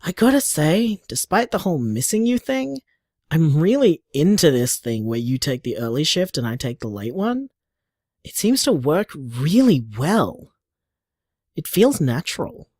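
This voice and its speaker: A man's voice: on the high side, very animated, unhurried, darkish tone, dry recording, studio quality, heavily acted. A man playing an affectionate, playful young bar owner, chatting casually as if leaving voicemails in an audio drama. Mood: tender, reflective.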